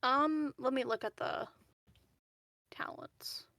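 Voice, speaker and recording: The woman at an online game night checking her character sheet, high-ish voice with a crackle of fry. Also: slow pace, sharp tone. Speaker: player in a tabletop roleplaying game. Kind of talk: casual game chat.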